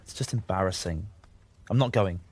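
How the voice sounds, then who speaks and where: A man's voice: low voice, normal speed, a little mellow, no room sound. An actor playing a troubled, self-justifying young man who confesses and makes excuses in a naturalistic audio drama. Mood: indignant, exasperated. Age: young adult. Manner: confessional, defensive, sweary.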